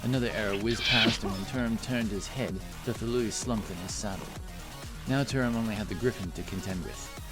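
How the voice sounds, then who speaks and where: A man narrates a scripted fantasy audio drama. His voice sits fairly low and he tells the story dramatically. Heavy music runs loudly underneath. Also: normal speed. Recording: very loud music behind.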